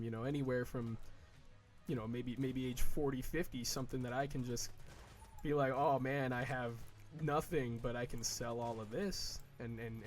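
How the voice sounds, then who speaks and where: A man in his early twenties chatting casually while playing a game, rambling with many fillers, restarts and swearing. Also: medium pitch. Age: early 20s. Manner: slow, drawn-out mock whining in quoted asides. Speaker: gaming video creator.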